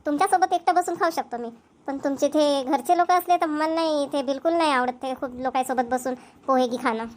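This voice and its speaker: A woman's voice: high-pitched, a little fast, close to an everyday voice. A woman playing a weary, solitary young daughter-in-law in a family cartoon, grumbling plainly with a Vidarbha Marathi accent. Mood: defensive, irritated. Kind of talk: amateur family cartoon voice acting.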